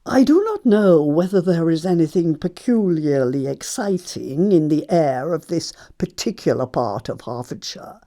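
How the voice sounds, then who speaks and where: A pompous, swooping aristocratic aunt. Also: female voice, lowish voice, warm tone, exaggerated voice. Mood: pompous disapproval. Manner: pompous, commanding, deliberate. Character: haughty, imperious older aristocratic English aunt. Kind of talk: theatrical stage comedy acting.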